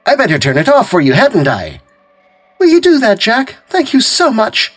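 Guy doing a high sweet coaxing young widow, kinda husky, sneaky underneath. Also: wildly swooping pitch, moderate pace, exaggerated voice. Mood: sweetly pleading. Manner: coaxing, pleading, falsely gentle. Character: grieving young housewife, sweetly persuasive, secretly cunning.

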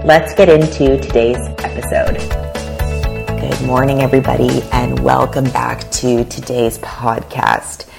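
Very low-voiced, gravelly woman podcaster. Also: flat delivery, unhurried, over music. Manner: earnest, confessional, with frequent fillers and restarts. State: losing her voice. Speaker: podcast host. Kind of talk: solo podcast monologue.

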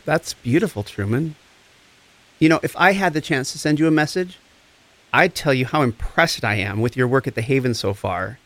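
An actor playing a gentle, encouraging empath therapist, speaking in a reassuring, deliberate way in a scripted audio drama. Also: male voice, mid-range voice, expressive, no echo, lightly acted, rough recording. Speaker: actor in a fiction podcast.

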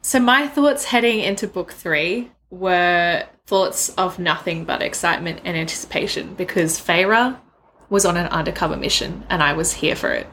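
A woman's voice: on the low side, moderate pace, fairly bright tone, faint music behind. A woman co-hosting a podcast, chatting casually about books in a rambling, wry, giggly way. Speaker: book podcast co-host. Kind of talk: casual chatty book discussion.

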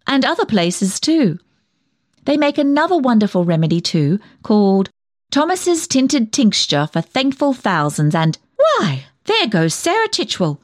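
A self-righteous village dressmaker and town gossip in a comic storytelling monologue. Her pitch swings in a very animated way as she chatters, digresses and hands out tactless opinions. The sound is dry, with no room around the woman's voice. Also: dry recording. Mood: nosy, puzzled.

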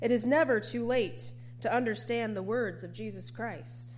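A woman preacher delivering a sermon in a measured, reflective, plain-spoken way. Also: on the high side, a little mellow, a little hiss or hum.

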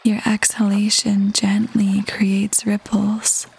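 A woman leading a guided meditation in a soft, breathy half-whisper, gentle and calm with long pauses. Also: mid-range voice. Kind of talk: guided meditation, slow soothing narration. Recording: voice over prominent background music. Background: meditation music.

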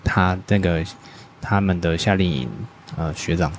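A man as an artist guest on a podcast, his voice sitting very low. He speaks in a casual, halting way, pausing on filler sounds and agreeing quickly with repeated yeses. A faint hiss sits under the recording. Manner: hesitant, many filler pauses, agreeing with repeated "yes, yes". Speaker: guest artist, toy brand owner.

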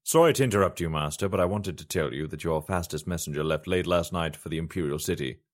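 Man voicing a deep storybook steward, polite and apologetic. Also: fast, lightly acted. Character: deferential male castle steward.

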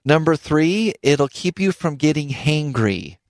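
A man's voice: fairly high pitch, lively intonation, measured pace, no room sound, clean studio sound. A middle-aged man hosting a radio health show, chatting casually, laughing often and stumbling over repeated words as he reads. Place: radio studio. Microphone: studio microphone.

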